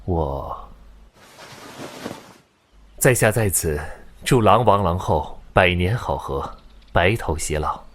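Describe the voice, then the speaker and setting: An actor playing a melancholy, courteous celestial prince in a costume audio drama, speaking with restrained formality. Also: male voice, sits low, expressive, slow, long pauses, slight background noise. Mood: formal, subdued.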